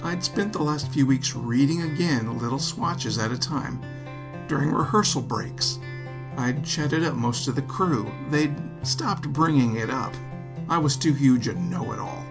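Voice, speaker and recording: A man reads scripted first-person fiction as its narrator. His delivery is wry, wordy and a touch theatrical. Plucked guitar music plays clearly behind the voice. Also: mid-range voice, measured pace.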